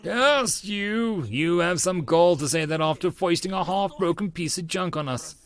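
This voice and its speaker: A man's voice: sits high, normal speed. A man voicing a grandiose, theatrical eighteen-year-old self-styled genius, pompous and indignant, in an audiobook.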